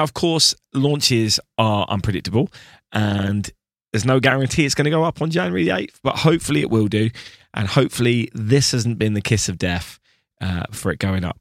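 A man's voice: lowish voice, a little fast, vocal fry, no room sound, clean studio sound. A man hosting a podcast, chatting in a friendly, joking way and reading a scripted intro. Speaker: podcast host.

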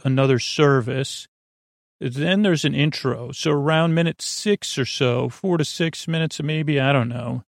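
A male podcast host delivers a rambling bedtime monologue in a voice full of creak and fry. He halts, doubles back and drifts into tangents, trailing off mid-thought. The sound is very dry, with no room around it. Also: mid-range voice, no room sound.

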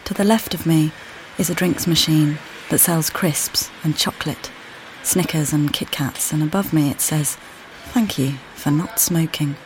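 An actress playing a weary, displaced mother who calmly guides the listener in a walking play, speaking plainly and directly to them. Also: low voice, a little slow, hardly any pauses, slight background noise, low-quality recording. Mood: reflective. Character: displaced mother, weary and uncertain, far from home. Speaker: audio guide narrator. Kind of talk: immersive walking-play narration.

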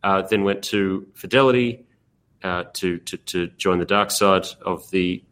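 A man's voice: deep voice, a little slow, fairly bright tone. An Australian man hosting a podcast, joking and rambling casually and often breaking off mid-thought. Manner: jokey, rambling, self-interrupting. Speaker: podcast host.

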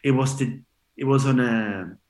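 A forty-year-old man from Switzerland chats loosely as an interview guest, circling his point with fillers and false starts. His voice sits at an ordinary middle pitch. The room around him rings with noticeable echo. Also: unhurried, darkish tone. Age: 40s. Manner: rambling, many fillers and restarts. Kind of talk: casual interview chat.

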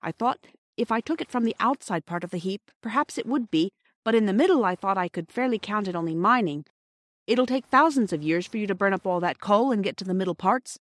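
A woman voicing a proud, earnest young schoolboy in a storybook, defiant and quick to justify himself. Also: medium pitch, expressive, dry recording, natural voice.

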